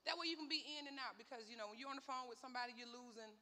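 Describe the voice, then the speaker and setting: A woman's voice: on the high side, rapid-fire, pushed and bright. A woman, a sheriff's deputy, giving a casual safety training talk with fillers. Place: church hall. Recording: long hall reverb.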